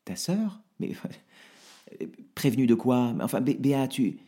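A man voicing a hapless, blustering husband who stammers and protests loudly. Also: mid-range voice, sing-song, slow, pitched above the performer's own voice. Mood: pleading.

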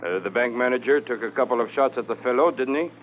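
A man's voice: medium pitch, fast talker, phone-quality sound, lightly acted. An actor playing a businesslike city policeman phoning in a report in a 1950s radio drama. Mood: matter-of-fact. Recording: thin, faintly noisy 1950s radio transcription.

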